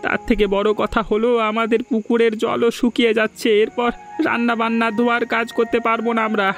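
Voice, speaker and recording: Cartoon farmer played by a male actor: worried, complaining husband, extremely high-pitched and monotone, music underneath. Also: fairly quick. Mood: weary, despairing. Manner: plaintive, complaining. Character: worried village farmer man, grumbling, weary husband. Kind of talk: Bengali cartoon folk-tale voice acting.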